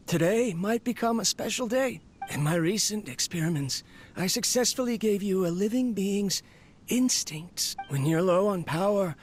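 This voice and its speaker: An actor playing a weary, lonely inventor, reflective and earnest, in a dramatic video game scene. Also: male voice, on the high side, slight background noise, lightly acted. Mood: earnest, uneasy. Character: weary, lonely male inventor talking to his robot.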